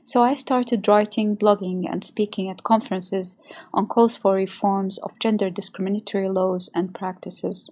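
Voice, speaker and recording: A woman's voice: mid-range voice, fairly even intonation, normal speed, a little mellow. A woman from Saudi Arabia narrating a prepared account of her work as a researcher and activist. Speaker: researcher and women's rights activist. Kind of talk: scripted personal narration.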